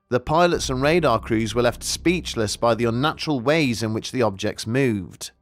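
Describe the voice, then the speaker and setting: A man narrates a scripted mystery documentary over background music. His voice sits at a middle pitch. He phrases things deliberately and leaves dramatic pauses between key words.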